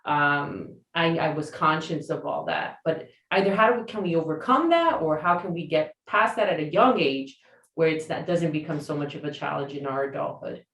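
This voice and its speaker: Roomy distant woman host, lowish voice. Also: animated, normal speed, a bit bright. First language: Spanish. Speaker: podcast host. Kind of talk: conversational interview.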